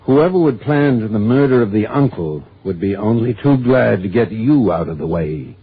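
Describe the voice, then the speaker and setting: A man's voice: lowish voice, expressive, normal speed, music in the background. An American actor playing a crusty, suspicious, opinionated man in a 1950s radio drama, talking in a folksy, emphatic grumble. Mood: insistent, accusing. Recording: thin, phone-like old radio recording.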